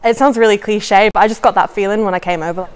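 A young woman from England, a recent graduate talking warmly and casually in an indoor lounge. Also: mid-range voice, fairly even intonation, quick pace, clean tone, big-room sound. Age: young adult. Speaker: student. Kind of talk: casual interview.

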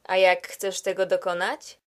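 A woman's voice: lowish voice, flat delivery, a little slow, lightly acted. A woman playing a wry, skeptical narrator in a scripted comedy, questioning the hero in a dry, teasing way.

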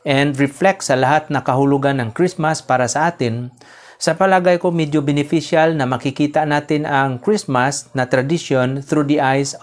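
A man in his forties preaching a home-recorded sermon to camera, reading scripture and then explaining it in Taglish with polite "po" and short pauses. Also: medium pitch. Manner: earnest preaching with frequent "po" and filler pauses. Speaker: pastor. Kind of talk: sermon to camera, mixing Tagalog and English.

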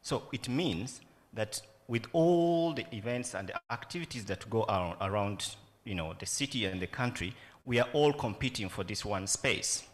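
A man's voice: medium pitch, long reverb tail. A man in his forties with a Ugandan accent, speaking earnestly into a stand mic at a festival panel, often asking reflective questions. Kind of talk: panel talk. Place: conference room with a yellow banner.

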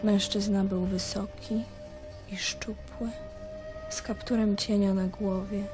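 A woman's voice: medium pitch, level tone, slow pace, over music, close to an everyday voice. An actress playing a grieving young princess, solemnly chanting her own tale in the third person in a radio drama. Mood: solemn, mournful.

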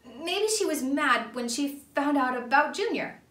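A woman's voice: high-pitched, expressive, a little slow, fairly bright tone, reverberant, far from the mic, pitched above the performer's own voice. A woman voicing an anxious, flustered young male pea from a children's storybook. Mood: nervous, defensive. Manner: breathless, flustered, confessional. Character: Percy, sputtering anxious young male pea, later contrite.

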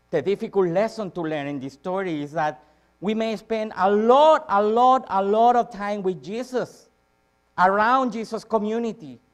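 Higher-voiced preacher, man. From Chile. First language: Spanish. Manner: emphatic repetition with dramatic rises and drops in volume. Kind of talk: church sermon. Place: church sanctuary, speaking from a lectern on stage.

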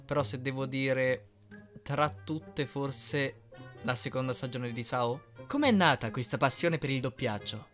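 A man's voice: mid-range voice, measured pace, fairly bright tone. Young man over faint music. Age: early 20s. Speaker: YouTube fandubber answering fan questions. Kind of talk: casual chat, reading and answering questions. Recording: music playing behind.

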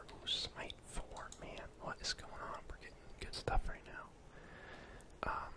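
A young man speaks entirely in a soft, breathy whisper, close to the microphone. He gives casual ASMR gameplay commentary, rambling along and thinking out loud as he plays. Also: very high voice, low-quality recording. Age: young adult. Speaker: gaming ASMR streamer.

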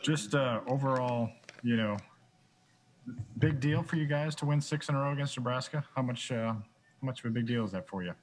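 A man's voice: mid-range voice, a little fast, low-quality recording. A man, a sports reporter, putting direct questions to players at a press conference.

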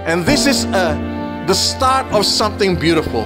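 A man's voice: high-pitched, sing-song, normal speed. A man giving a wedding sermon as a pastor. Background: music.

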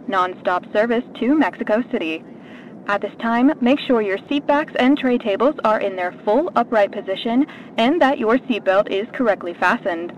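A woman's voice: a little high, lightly acted. A woman playing a courteous chief flight attendant, giving a formal, practised cabin announcement in an audio drama. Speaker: voice actor in an audio roleplay. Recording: dull cabin speaker sound, faint noise. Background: faint airplane ambience.

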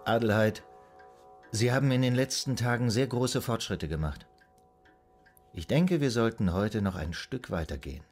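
An actor playing a calm, soothing psychoanalyst in a radio drama, guiding a patient in a measured, hypnotic, gently instructing way. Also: male voice, lowish voice, soft background music. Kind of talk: German radio drama acting.

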